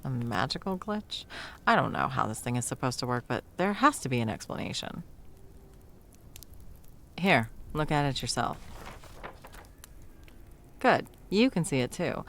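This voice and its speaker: Woman playing a low, creaky, sarcastic Christmas elf. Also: exaggerated voice. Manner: sarcastic, hesitant, self-deprecating. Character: sarcastic, self-doubting female Christmas elf, bumbling delivery helper. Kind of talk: ASMR audio roleplay, one-sided intimate conversation.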